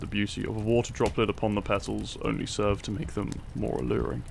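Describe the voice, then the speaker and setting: Male actor as devoted doctor. Tender, soft-spoken, creaky voice, faint noise behind. Intimate romance audio drama. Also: lowish voice, level tone, fast. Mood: tender, loving. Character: tender, devoted Victorian doctor, modest and smitten.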